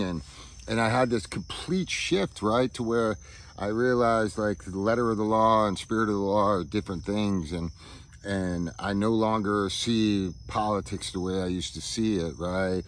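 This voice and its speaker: A man giving a reflective personal monologue as a vlogger, halting as he searches for words and often checking in with a casual tag question, recorded outdoors. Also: on the low side. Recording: outdoor ambience with insects chirping.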